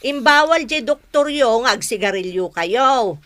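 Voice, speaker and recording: A woman with an Ilocano accent playing a lively, plain-spoken grown daughter and elder sister in a radio comedy drama. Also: fairly high pitch, measured pace, no room sound, studio quality. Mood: exasperated. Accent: Ilocano, northern Philippines.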